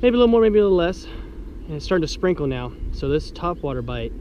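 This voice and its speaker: An American man with a fairly high, very lively voice hosts a casual fishing vlog. He is exclamatory and joking, speaking outdoors on a boat. The sound is dull action-camera audio with clear background noise. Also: fast talker. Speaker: fishing vlogger. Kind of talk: casual excited chat while filming fishing. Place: outdoors on a small bass boat on water. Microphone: action camera worn by the speaker.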